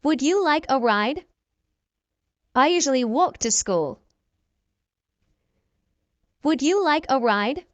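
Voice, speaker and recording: A woman English teacher reads everyday dialogue lines for learners, slowly and clearly. Her voice sits high and swings widely in pitch. She repeats each exchange at an unhurried pace. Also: sing-song, dry recording, lo-fi sound. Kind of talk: reading practice dialogues for learners.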